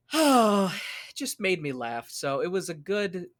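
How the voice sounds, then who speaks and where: A man's voice: high voice, sing-song, takes it slowly, fairly bright tone, no echo. Man chatting in a dry-sounding room. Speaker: podcast host. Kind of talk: casual chat.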